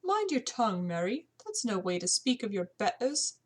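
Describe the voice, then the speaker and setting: A woman's voice: mid-range voice, big pitch swings, normal speed. A woman voicing a proper, tearful older English housekeeper with a put-on British accent, in an audiobook-style story. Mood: scolding. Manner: proper, deferential, old-fashioned servant speech. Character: tearful prim elderly English housekeeper, loyal servant.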